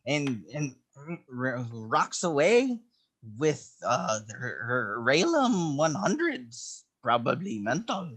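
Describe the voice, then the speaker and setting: A man's voice: mid-range voice, very animated, takes it slowly, a bit bright, slightly rough. A man narrating comic panels as a joke, goofy and slurred, replacing first sounds with an r sound. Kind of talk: comedic dramatic reading.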